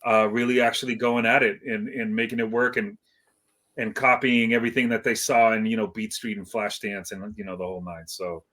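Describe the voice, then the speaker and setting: A man's voice: lowish voice, level tone, brisk, a bit bright. A middle-aged man from Iceland who moved to California young, chatting as an interview guest in a hesitant, reflective, slangy and rambling way. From Iceland, moved to California at seven. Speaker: guest, hip hop DJ. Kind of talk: casual interview chat.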